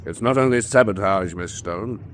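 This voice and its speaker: An actor playing a cool, probing submarine officer in a wartime radio drama, with a deliberate, pointed delivery. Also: male voice, lowish voice, a little hiss or hum, close to an everyday voice. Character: cool, probing senior U-boat officer, captain's frank confidant.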